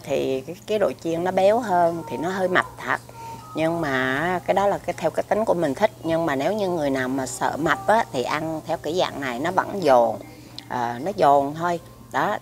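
A middle-aged woman with a Southern Vietnamese accent reviews food to a camera across a restaurant table. Her voice is low and gravelly, and she rambles warmly, repeating words and leaning on fillers. Faint music plays behind her. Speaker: food vlogger. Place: restaurant table by a window, indoors.

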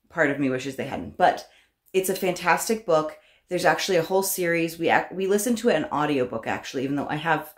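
A woman in her thirties with a distinctly low voice speaks to camera in a casual vlog style. She is conversational, hedging and rephrasing as she makes recommendations. The room around her adds a noticeable echo. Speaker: homeschooling parent vlogger. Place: small room at home, indoors. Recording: roomy indoor echo.

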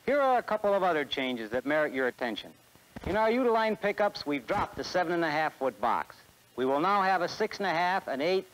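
A man's voice: a little high, rough recording. A man in his forties with an American accent giving a scripted sales presentation in a 1960s promotional film. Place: film studio beside a truck.

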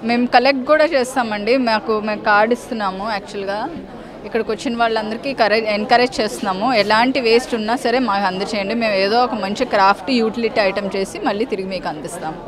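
A woman's voice: fairly high pitch, quick pace, a crowd in the background. A woman exhibitor explaining her products earnestly into a handheld mic at an expo.

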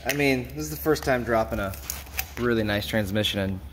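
A young man chatting casually in a garage, explaining his repair plan in a relaxed, matter-of-fact way. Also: on the low side, a little fast, noisy background. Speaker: garage vlogger. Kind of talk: casual chat while working. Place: shop and open garage bay. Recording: music under voice.

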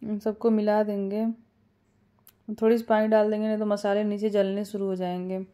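Mellow-voiced woman explaining home cooking. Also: medium pitch, normal speed. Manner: calm step-by-step instructions with small fillers. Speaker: cooking channel host. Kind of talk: home cooking tutorial voice-over.

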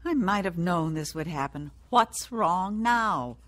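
A woman's voice: on the low side, animated, takes it slowly, a bit bright, dry recording, faint music behind. An actress playing a critical, dismissive middle-aged mother, brusque and scolding, in a radio drama. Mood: scornful.

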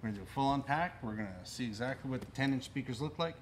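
A man's voice: mid-range voice, lively intonation, quick pace. Middle-aged man demonstrating gear, noisy recording. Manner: plain-spoken, explanatory, talking while handling gear. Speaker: store presenter demonstrating audio gear. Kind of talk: casual unboxing and product demonstration. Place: indoors in a cluttered audio equipment store. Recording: noisy room recording with clicks.

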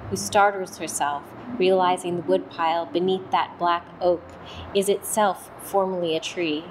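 A woman in her thirties speaking reflectively in measured phrases with pauses, as in an interview or poetry reading. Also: fairly low pitch, noisy background. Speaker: choreographer, dancer and poem reader.

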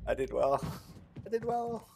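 A man's voice: high voice, big pitch swings, unhurried, creaky, dark tone, slight background noise. A man streaming a video game with casual, chatty commentary, repeating himself and talking back to the game. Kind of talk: casual let's play commentary. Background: video game audio.

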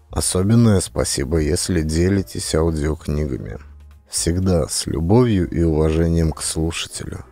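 Deep, creaky-voiced male audiobook narrator. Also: dark tone. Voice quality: deep, gravelly. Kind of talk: scripted narration.

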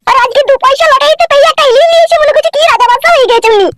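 A woman voicing a sharp-tongued, sassy, nagging village wife in a comic cartoon. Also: extremely high pitch, rapid-fire, background noise. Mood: nagging, mocking. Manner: bickering, taunting, sassy.

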